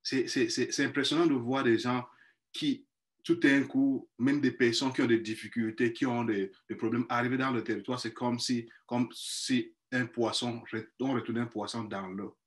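A man's voice: medium pitch, fairly even intonation, moderate pace. A man in his thirties whose first language is not French, giving a personal testimony on a webinar panel over a video call from a small office, earnest and hesitant with many restarts and repeated words. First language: not French; another mother tongue. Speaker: panelist, missionary priest. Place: small office room indoors. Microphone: earphone mic on a video call.

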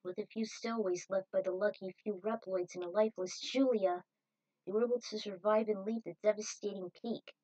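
A woman's voice: medium pitch, steady tone, fairly quick, warm tone, low-quality recording. A woman reading a scripted adventure story aloud as narrator, in a dramatic manner.

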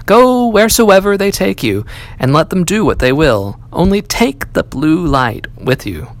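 A man reads as a crafty little magical man serving his master in a fairy tale. The voice is rather high and animated, obliging and cautioning. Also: unhurried. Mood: reassuring.

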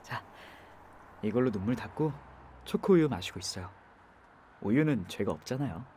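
A young man voicing a kind, soft-spoken driver in an ASMR audio drama, talking gently and comfortingly. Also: on the low side, lively intonation, normal speed, slight background noise, lightly acted. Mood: gentle, comforting.

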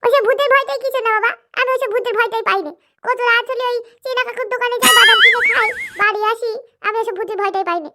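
A woman's voice: extremely high pitch, quick pace, pushed and bright. A woman voicing a cheeky, complaining young grandson in a comedy cartoon, with music behind. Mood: boastful complaining. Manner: complaining, boastful. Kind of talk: comic cartoon dialogue. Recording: background music throughout.

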